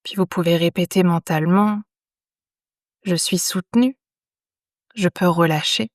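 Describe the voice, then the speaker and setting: A woman guiding a yoga nidra meditation in a soothing, unhurried manner, leaving long pauses between phrases. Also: fairly low pitch, no echo, studio quality. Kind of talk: guided meditation.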